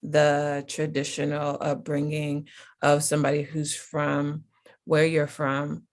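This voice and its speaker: A woman's voice: sits low, completely flat delivery, takes it slowly. An American woman hosting a casual interview, rambling questions filled with "like" and "um". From United States. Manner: rambling, heavy use of "like". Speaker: interviewer host.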